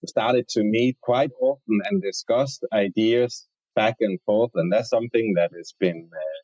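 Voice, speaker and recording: Low-voiced man, dull lo-fi sound. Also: a little slow, darkish tone, dry recording. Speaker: academic researcher, interview guest. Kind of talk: conversational interview answers.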